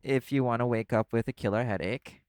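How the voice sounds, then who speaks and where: A man playing a laid-back, caring vampire in an audio roleplay, talking the listener toward sleep in a casual, gently instructive way. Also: medium pitch, fairly quick, smooth, no room sound, clean studio sound, close to an everyday voice. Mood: wry, explaining. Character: laid-back male vampire companion, teasing yet caring.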